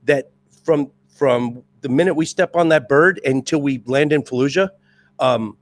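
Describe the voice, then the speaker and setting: A middle-aged man with a raspy voice from years as a drill instructor, talking casually as a podcast guest in an intense, amped-up way. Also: mid-range voice, a little slow, dry recording. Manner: intense, amped-up, restless talker. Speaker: podcast guest, retired Marine first sergeant. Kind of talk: casual chat.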